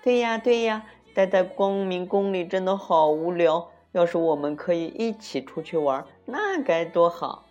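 A woman's voice: fairly low pitch, lively intonation, normal speed, hardly any pauses, darkish tone, voice pushed up, lightly acted. A woman voicing mischievous, playful young suns in a children's storybook, with teasing, sing-song calls. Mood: bored, wishful. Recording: muffled lo-fi recording with music.

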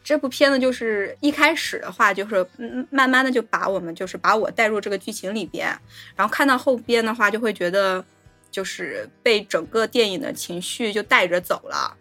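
A woman's voice: medium pitch, fairly quick, fairly bright tone, faint music behind. A woman co-hosting a casual film discussion, going step by step with repeated linking words. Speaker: podcast co-host.

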